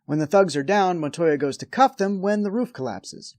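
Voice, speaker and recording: A man's voice: high-pitched, expressive, moderate pace, a little mellow, no echo. A man hosting a review show, delivering scripted comedic recap narration with a wry, sarcastic edge.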